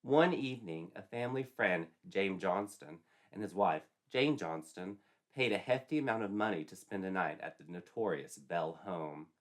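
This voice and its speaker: A man's voice: low-pitched, lively intonation, normal speed. A man narrating a scripted comic story in a theatrical, wry, tongue-in-cheek manner.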